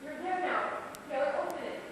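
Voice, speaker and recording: Actress as a commanding, very high-pitched woman, echoey stage. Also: fairly quick, natural voice. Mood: coaxing, firm. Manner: commanding, insistent, emphatic. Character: forceful, menacing woman pressuring her fearful housemate. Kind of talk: amateur live stage play acting. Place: small theatre stage. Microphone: distant recorder in the hall. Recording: distant, echoey theatre hall, faint noise.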